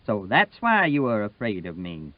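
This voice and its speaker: A man voicing an imperious older Southern colonel, proud and curt, speaking in short, sharp, commanding phrases. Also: on the low side, wildly swooping pitch, normal speed, dry recording, highs cut off.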